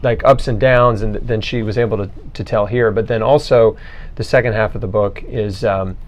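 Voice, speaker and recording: A man's voice: on the low side, steady tone, normal speed, highs cut off. A man hosting an interview in a casual, colloquial, conversational way. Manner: informal, colloquial phrasing. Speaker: interviewer, host.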